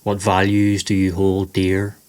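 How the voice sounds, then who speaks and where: Deep-voiced man guiding a meditation. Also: monotone, a little mellow. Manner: slow, soothing, measured delivery. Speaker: meditation guide. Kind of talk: scripted guided meditation narration.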